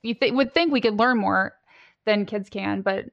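A middle-aged woman hosting a podcast, chatting in a relaxed way and asking open questions. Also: medium pitch, moderate pace, creaky, muffled recording. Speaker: podcast host. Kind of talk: conversational interview.

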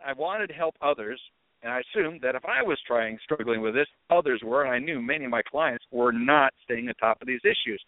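Middle-aged male podcast host, chatty and meandering, thin phone-quality sound with no room echo. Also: medium pitch. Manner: rambling, conversational storytelling. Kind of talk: casual monologue. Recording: tinny phone-like dry audio.

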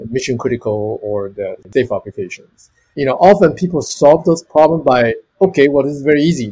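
A man's voice: mid-range voice, darkish tone, breathy edge, poor audio. A man in his fifties from Taiwan, a storage technology executive answering interview questions earnestly and listing many examples.

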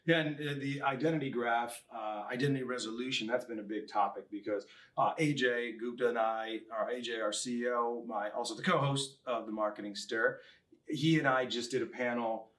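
A man's voice: medium pitch, steady tone, a little fast, a bit bright. A man co-hosting a casual interview podcast, chatting informally with jokey asides. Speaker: podcast co-host.

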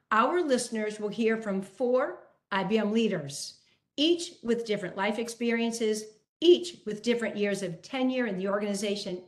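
Upbeat woman podcast host, high voice. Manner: enthusiastic, emphatic delivery. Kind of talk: scripted podcast introduction and moderating. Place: South Florida.

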